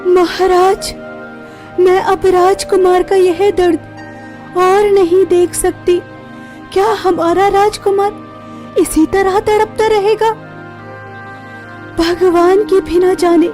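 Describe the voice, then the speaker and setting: A woman's voice: very high voice, little pitch movement, slow pace, pauses often, a little mellow, faint music behind. A woman playing a sorrowful queen who implores in anguish, in a melodramatic cartoon story. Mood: anguished, imploring. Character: grieving queen, anguished mother of sick prince.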